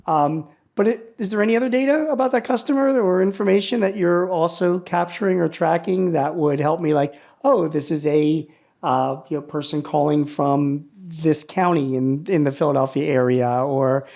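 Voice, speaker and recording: A man hosting a business podcast, asking questions in a halting way with many restarts. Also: a little high, a little mellow. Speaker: podcast host. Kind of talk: interview questions.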